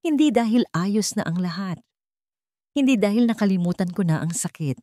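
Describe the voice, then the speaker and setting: A woman's voice: mid-range voice, lively intonation, normal speed, mellow, no echo, close to an everyday voice. Woman acting a firm, tender Filipino mother, dry sound. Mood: resolute. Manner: direct, earnest. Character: forgiving, steadfast mother, firm and tender with her son.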